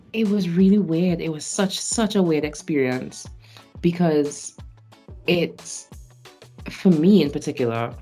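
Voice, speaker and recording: A man's voice: fairly high pitch, a little mellow. A Trinidadian man in his late twenties, a stage actor and singer, reflecting on his experiences in a casual interview and laughing often between phrases. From Trinidad and Tobago. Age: late 20s.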